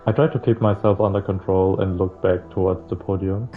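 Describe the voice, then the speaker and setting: A man's voice: deep voice, fairly even intonation, fairly quick, narrow, tinny audio, soft background music. A man hosting a visual novel playthrough, reading the game text aloud and adding casual, slangy, sometimes sweary commentary. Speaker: video game playthrough host.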